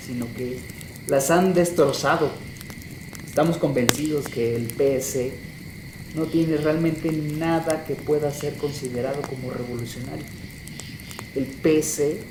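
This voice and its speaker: A man with a Mexican accent playing a resolute guerrilla leader in a radio drama, making solemn, declamatory political statements in measured phrases. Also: a little high, lightly acted. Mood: resolute, critical. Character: male revolutionary guerrilla leader giving an interview, resolute. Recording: roomy echo, clear background noise.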